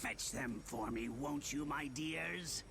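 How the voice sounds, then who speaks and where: A man playing a pompous, megalomaniac villain mastermind in a video game, sneering with theatrical, drawn-out grandeur. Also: medium pitch, vocal fry. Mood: gloating. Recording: voice over loud background noise.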